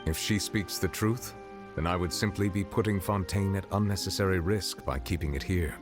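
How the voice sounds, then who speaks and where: Guy voicing a very low-voiced stately judge, slow and formal, soft music behind. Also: close to an everyday voice. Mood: measured. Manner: formal, measured, deliberate. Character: dignified male chief justice, measured and formal. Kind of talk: game dialogue. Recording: game dialogue with faint music behind.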